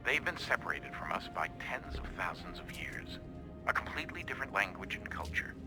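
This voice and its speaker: An actor playing a calm, contemplative scholar in a video game, speaking in a hushed, measured, formal way. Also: male voice, low voice, music in the background. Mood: awed, reflective. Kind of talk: naturalistic video game acting.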